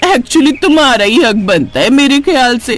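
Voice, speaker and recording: A man's voice: very high-pitched, very animated, normal speed, a bit bright, voice pushed up, heavily acted. A man voicing gossiping, judgmental middle-aged neighbourhood women in a cartoon story, with an insinuating manner. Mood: sly insinuation. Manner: insinuating, disapproving. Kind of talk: cartoon story character acting.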